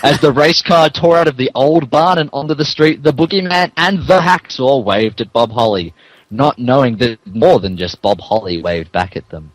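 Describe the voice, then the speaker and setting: Animated man narrating comic fan fiction. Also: medium pitch, moderate pace, poor audio. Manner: mock-serious, amused. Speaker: narrator, podcast host.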